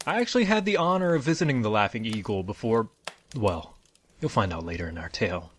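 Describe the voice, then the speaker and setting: A man playing a genial, grandiose troupe leader, narrating a fantasy audio drama in a measured, showman-like way. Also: mid-range voice, a little hiss or hum, natural voice. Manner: measured, showman-like, formal phrasing. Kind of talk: dramatic fantasy storytelling to an audience. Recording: music under the voice. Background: background music.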